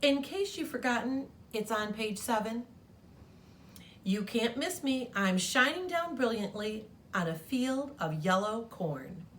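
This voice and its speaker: A woman voicing a whiny, boastful yellow crayon from a children's storybook, indignant and insistent. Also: fairly high pitch, very animated, measured pace, a little hiss or hum.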